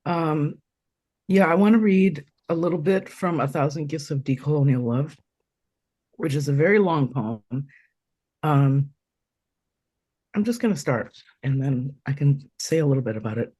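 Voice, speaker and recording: Hoarse woman reading poetry real slow on a video call, close dry sound, from taiwan. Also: low-pitched, mellow, no room sound. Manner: slow, deliberate recitation with pauses. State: hoarse, getting over a cold. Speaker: poet reading her work.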